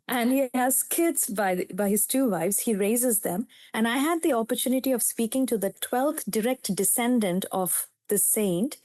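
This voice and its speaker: A woman's voice: medium pitch, darkish tone, no room sound. A woman in her fifties from India, a native Telugu speaker with an Indian English accent, telling her story as a psychiatrist guest in an interview in an earnest, reflective way.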